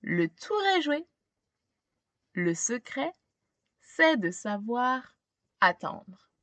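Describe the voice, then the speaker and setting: Woman acting gentle female gardener, storybook, confiding, instructive, higher voice, dry sound. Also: big pitch swings, extremely slow, no room sound, full character voice. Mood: gentle teaching. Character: gentle woman gardener sharing secrets with a child.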